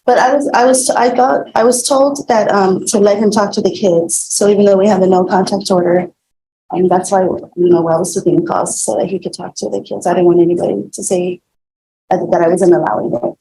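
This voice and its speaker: Witness in a hearing: woman, creaky and relaxed tone, halting everyday phrasing, slightly noisy courtroom recording. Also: medium pitch. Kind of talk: courtroom testimony.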